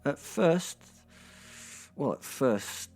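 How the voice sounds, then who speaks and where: A man's voice: on the high side, very animated, gravelly, darkish tone, heavily acted. A man playing a brash, sardonic werewolf who tells his violent story in a rambling, conversational way with wry asides, in an audio drama. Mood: grave, uneasy. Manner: rambling, conversational, halting for effect, repeating words. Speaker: narrator and main character of an audio drama.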